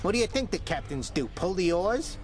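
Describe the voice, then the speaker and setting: A man's voice: high voice, very animated, moderate pace, soft background music. A man playing a brash, cheeky undead skeleton in a video game, talking in streetwise, sarcastic slang. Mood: wisecracking, defensive. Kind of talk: video game character dialogue.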